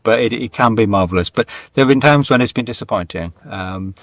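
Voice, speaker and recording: A man's voice: fairly low pitch, a little fast, fairly bright tone, no echo. A middle-aged man speaking reflectively as an interview guest, thoughtful and emphatic, repeating phrases for stress. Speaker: guest, screenwriter and radio dramatist. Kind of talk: reflective interview conversation, career anecdotes.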